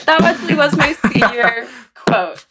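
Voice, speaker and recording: A woman co-hosting a podcast in a casual group chat, with some noise on the recording. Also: on the high side. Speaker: podcast co-host. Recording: noticeable background noise.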